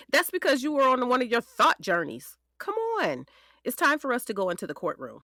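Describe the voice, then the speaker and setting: A woman playing a brisk, familiar female defense lawyer in audio drama dialogue. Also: on the high side, big pitch swings, bright, forceful tone, no echo, studio quality, heavily acted. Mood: insistent, teasing.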